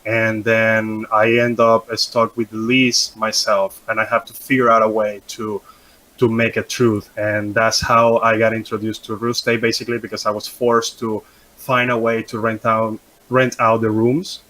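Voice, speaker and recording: A man's voice: lowish voice, poor audio. Venezuelan-born man telling his story. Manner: halting, many ums and restarts, relaxed. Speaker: guest. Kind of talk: casual interview storytelling.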